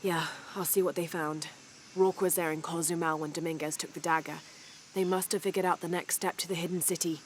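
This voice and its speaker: A woman playing a young, determined archaeologist adventurer in a video game, thinking aloud in a measured, earnest way. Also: on the low side, fairly even intonation, noisy background, lightly acted. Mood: urgent, investigating. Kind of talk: video game cutscene acting, murmured reasoning and radio reports. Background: music.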